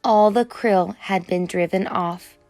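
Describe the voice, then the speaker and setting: A woman reading a children's picture book aloud as an expressive storyteller. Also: mid-range voice, takes it slowly. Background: music.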